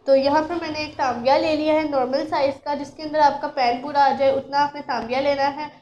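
High-voiced woman teaching a home recipe. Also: fairly even intonation, fairly quick, a little mellow, highs cut off, a little hiss or hum. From Pakistan. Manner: patient, instructive, addresses viewers directly. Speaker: cooking channel host. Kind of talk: step-by-step recipe narration.

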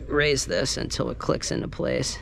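Gravelly man explaining over room noise. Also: mid-range voice, flat delivery, normal speed. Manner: hands-on, informal explaining while working. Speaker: live sound engineer. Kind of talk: casual first-person tutorial walkthrough. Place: large carpeted banquet hall with wooden dance floor. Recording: noisy room ambience, clearly audible. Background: room noise and ambience.

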